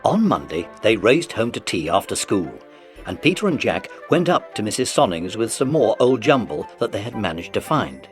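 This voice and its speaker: A man's voice: lowish voice, big pitch swings, moderate pace, faint music behind. A man narrating a children's adventure story from a script, in a dramatic storytelling style.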